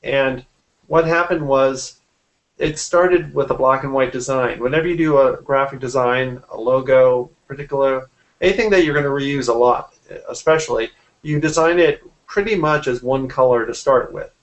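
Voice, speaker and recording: A man's voice: medium pitch, moderate pace, rough recording. A man in his forties, a graphic artist, casually explaining his work in an interview over a video call from a room at home. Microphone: computer mic on a video call.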